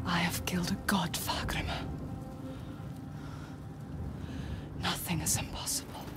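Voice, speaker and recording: A woman's voice: on the low side, steady tone, brisk, in a whisper, loud music behind the voice, close to an everyday voice. A woman playing a defiant, haunted young Celtic warrior in a video game, speaking with intense resolve. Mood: cold resolve. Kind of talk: dramatic video game acting.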